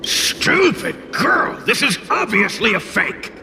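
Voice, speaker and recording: A man voicing a cocky, scornful little demon in a video game, brash and sneering, with a gravelly voice. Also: extremely high pitch, big pitch swings, takes it slowly, background noise, full character voice. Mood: scornful, outraged.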